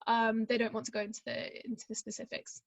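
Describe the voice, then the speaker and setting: High-pitched woman, even intonation. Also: fast, gravelly, no room sound. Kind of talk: answering questions, conversational. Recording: slightly dull video call audio.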